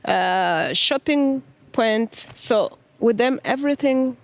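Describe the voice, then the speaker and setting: A woman speaking English as a second language as a guest on a panel, halting and plain-spoken. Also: fairly high pitch, expressive, no echo. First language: not English. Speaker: customer guest. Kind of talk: panel interview answer.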